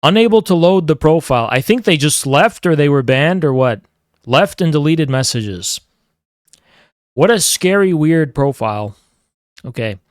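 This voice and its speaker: A man's voice: fairly high pitch, expressive, moderate pace, dry recording, clean studio sound. A man live-streaming, chatting casually and teasing his chat while rambling through strong opinions.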